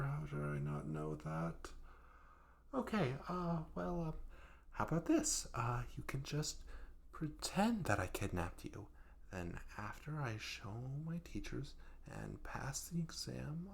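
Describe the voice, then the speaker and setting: A man's voice: fairly high pitch, vocal fry, full character voice. A man voicing a hapless, sweet but clingy young yandere student in a comedic audio roleplay, flustered, stammering and pleading. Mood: flustered bargaining.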